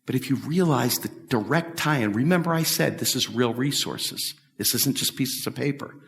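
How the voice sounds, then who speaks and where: A middle-aged man giving an animated, emphatic talk at a policy event, repeating his points and gesturing as he answers questions. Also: medium pitch, moderate pace, creaky. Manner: emphatic, repetitive, talks with big hand gestures. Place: large bright hall with windows, standing before a stage table. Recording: echoey hall from far off.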